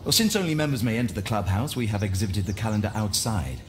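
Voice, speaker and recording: A courteous gentleman club host in a Victorian detective game. His comes with polite, formal phrasing. Clear ambient noise runs behind him. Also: fairly low pitch, big pitch swings, fast talker. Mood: formal, obliging. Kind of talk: video game voice acting.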